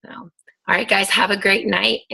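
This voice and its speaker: A woman's voice: medium pitch, flat delivery, normal speed, vocal fry, bright, forceful tone, slightly rough. A woman coach hosting a video team call, talking in a chatty, encouraging way with lots of ums and likes. Her voice is scratchy. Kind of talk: casual motivational team call.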